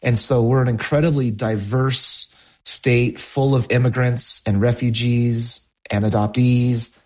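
A man's voice: medium pitch, fairly even intonation, measured pace, darkish tone. A middle-aged American man, a poet, talking reflectively as a guest in an interview. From United States, California.

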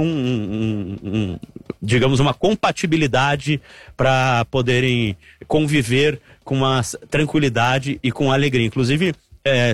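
A man's voice: mid-range voice, no room sound. A middle-aged Brazilian man reporting on the national team, chatty and explanatory, repeating points for emphasis. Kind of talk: live analysis on a football talk show.

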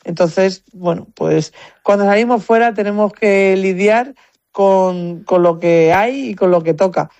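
A woman's voice: medium pitch, a little slow, darkish tone, no room sound, highs cut off. Chatty Spanish woman in a dry recording. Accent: Spanish from Spain. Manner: chatty, anecdotal, repeats words while thinking. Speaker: competitive shooter, interview guest. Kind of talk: casual conversational interview answers.

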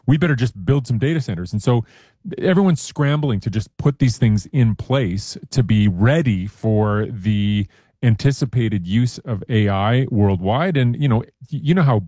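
A man explaining a topic in a measured, careful way with frequent restarts, as a guest columnist in a casual radio chat. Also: mid-range voice, animated, mellow, breathy edge, no echo, studio quality. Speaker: science columnist.

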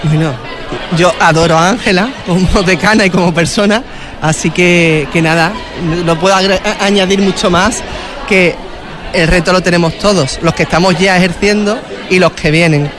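A woman's voice: lowish voice, steady tone, normal speed. A woman, a nurse, speaking hesitantly with many restarts at an outdoor event. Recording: outdoor event with crowd noise. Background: crowd chatter.

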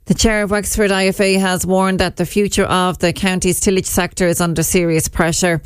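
A woman with an Irish accent reading a radio news bulletin in a measured, even way at a studio microphone. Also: fairly low pitch, smooth, no echo. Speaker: newsreader.

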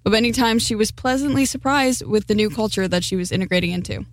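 A woman's voice: medium pitch, fast talker, dry recording. A woman hosting and narrating, telling the story in an upbeat, scripted way. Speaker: host.

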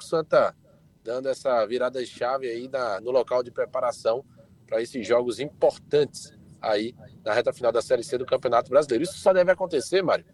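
A man reporting club news on a sports talk show, informative and conversational. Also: medium pitch.

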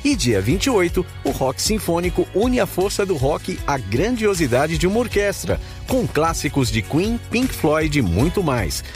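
A man's voice: fairly high pitch, sing-song, unhurried, faint music behind. A Brazilian man announcing a scripted promotional radio advertisement.

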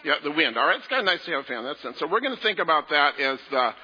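A man leading a children's message in a church service, talking to the children in a gentle, playful way. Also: fairly high pitch, very animated, racing, breathy edge. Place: large church sanctuary. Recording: echoey hall, dull lo-fi sound.

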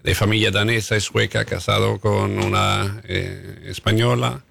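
A middle-aged man, an ambassador whose first language is Italian, giving measured interview answers with many hesitations and tag questions. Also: fairly low pitch, little pitch movement, gravelly, fairly bright tone, no echo, studio quality. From Italy. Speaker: EU ambassador, guest.

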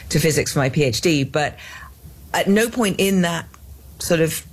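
A woman's voice: low voice, expressive, normal speed, breathy edge, rough recording. An English woman in her fifties, a scientist guest in an interview, talking earnestly with many restarts and fillers. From England. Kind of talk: interview conversation.

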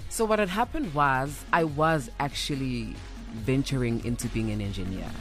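A young South African woman with a deep voice, talking candidly and playfully as an interview guest, slipping into Zulu at times. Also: normal speed, fairly bright tone, soft background music. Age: young adult. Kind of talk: casual radio interview, storytelling.

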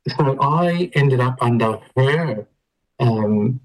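An Australian man from Melbourne talks as a radio interview guest. He tells his story in a relaxed, conversational way, pausing on ums and laughing easily. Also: medium pitch, rough recording.

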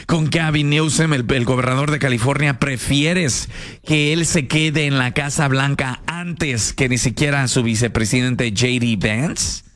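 A man's voice: medium pitch, fairly even intonation, fairly bright tone. A man hosting a casual call-in radio show, interpreting a speech on the fly and prodding callers for their views. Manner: quick, casual slang, prods callers for opinions.

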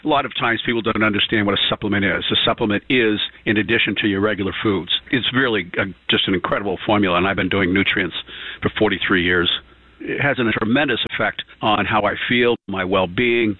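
A man's voice: fairly low pitch, fast, no echo. A man explaining matter-of-factly in a customer testimonial. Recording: tinny phone line.

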